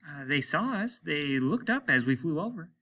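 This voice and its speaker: A deferential young-ranking spaceman acted out by a male reader, patient and a little dazed. He stammers and hesitates in a raised, bright voice, as an audiobook character answering his superior. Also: fairly high pitch, big pitch swings, normal speed, voice pushed up, heavily acted. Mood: polite, hesitant.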